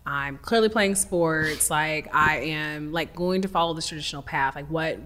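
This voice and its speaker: A woman's voice: low-pitched, normal speed, fairly bright tone, big-room sound. A woman hosting a podcast interview, chatty and enthusiastic, with many "like"s. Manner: chatty, enthusiastic, says "like" a lot. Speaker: podcast host. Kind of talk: casual interview chat.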